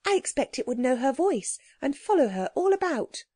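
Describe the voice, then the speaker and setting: A woman's voice: high voice, sing-song, normal speed, mellow, dry recording, voice pushed up. A woman voicing an eager, hopeful little girl.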